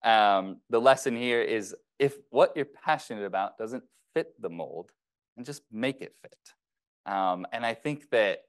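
A man in his thirties speaks to a ceremony audience in a loose, chatty keynote style. His voice sits a little low, and he often pauses on fillers and restarts his sentences. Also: lively intonation, smooth. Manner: casual, many fillers and restarts. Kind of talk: conversational keynote speech.

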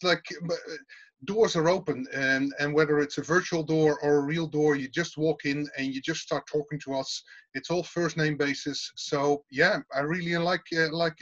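Middle-aged Dutch man, lecturer type, slightly higher voice, chatty and full of restarts, muffled call audio. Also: a bit bright. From Netherlands. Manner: informal, repeats words and restarts often. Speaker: university teaching fellow. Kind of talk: casual interview answers. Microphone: video call. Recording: dull lo-fi video call audio.

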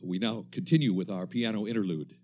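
An actor playing a composed, formal studio announcer in a 1930s-style radio drama, measured with slight hesitations. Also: male voice, lowish voice, darkish tone, soft background music, lightly acted. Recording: faint hum and noise.

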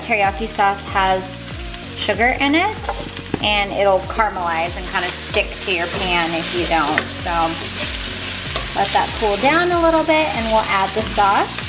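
A woman in her thirties chatting casually in an upbeat, homey way while she cooks in her home kitchen, filmed by a camera on the counter. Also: medium pitch. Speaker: fitness vlogger. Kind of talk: casual cooking vlog. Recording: echoey kitchen with background music.

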